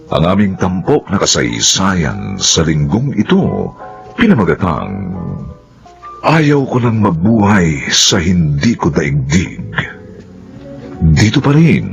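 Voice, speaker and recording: A man's voice: sits low, creaky, muffled recording. A man announcing a radio drama in a formal, dramatic scripted style. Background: music.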